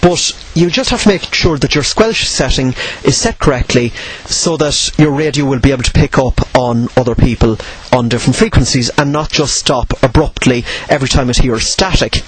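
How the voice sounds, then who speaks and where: A man with a slightly husky voice giving an informal tutorial, explaining in a measured way and posing questions he then answers. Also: medium pitch, rough recording. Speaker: presenter of instructional radio videos.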